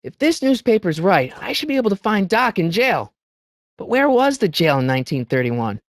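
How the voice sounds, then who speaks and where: A man's voice: high-pitched, very animated, moderate pace, dry recording, clean studio sound. A male actor playing an earnest, wisecracking teenage boy in a video game, with a youthful voice and a hesitant, slangy delivery. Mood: musing. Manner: hesitant, stammering asides, wry slangy delivery. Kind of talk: video game character lines.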